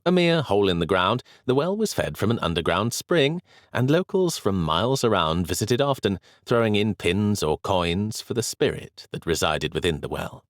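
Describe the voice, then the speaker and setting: Male narrator for a historical novel audiobook, low voice with lively intonation, dry studio sound. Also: dry recording. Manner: measured, expressive storytelling.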